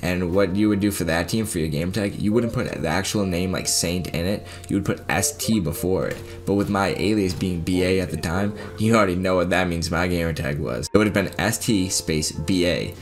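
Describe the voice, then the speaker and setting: A man's voice: low-pitched, fairly quick, few pauses, soft background music. A man in his twenties with a Boston accent from the Massachusetts area, chatting casually as he tells a story over his gameplay footage. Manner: casual, chatty storyteller talking straight to viewers. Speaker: gaming video creator. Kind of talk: sit-down gameplay commentary with story time.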